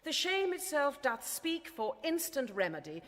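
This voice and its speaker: Haughty princess, high and reproachful. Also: female voice, sing-song, slight background noise. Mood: stern reproach. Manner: formal, measured, reproachful. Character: cold, haughty royal daughter scolding her aged father. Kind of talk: classical Shakespearean radio drama acting. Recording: reverberant hall-like radio drama sound.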